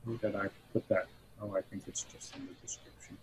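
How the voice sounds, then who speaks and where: A man's voice: lowish voice, flat delivery, brisk, a little mellow, poor audio. A man making short, casual remarks on an online video call. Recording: clear background noise and room ambience.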